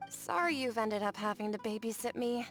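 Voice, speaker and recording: A woman's voice: fairly high pitch, animated, moderate pace, faint music behind. A woman voicing a polite, earnest, independent educator in a video game, apologetic and mildly put-upon. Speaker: game character voice actor. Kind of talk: voiced game dialogue.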